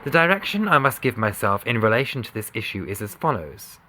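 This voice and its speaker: A man giving a sober, measured, formal reading of a scripted legal document. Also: lowish voice, sing-song, pushed and bright, a little hiss or hum. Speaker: voice reader of a court judgment.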